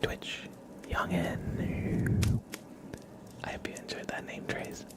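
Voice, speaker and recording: A man hosting an ASMR livestream in a soft, gentle, encouraging voice that often slips into whispering. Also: slow pace. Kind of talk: ASMR, soft-spoken live stream with whispering.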